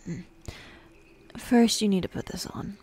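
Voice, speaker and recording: A woman's voice: mid-range voice, unhurried, a little mellow, slightly rough. A woman voicing a bossy, teasing demon in an intimate ASMR roleplay, sardonic and drawling, easily bored, slipping into whispers. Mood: bossy, impatient. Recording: faint ambient forest background noise.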